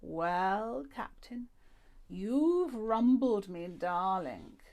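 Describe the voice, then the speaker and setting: A woman's voice: mid-range voice, big pitch swings, drawn-out words, a little mellow, full character voice. A woman voicing a grand, eccentric old woman who drawls and calls everyone darling. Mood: confiding.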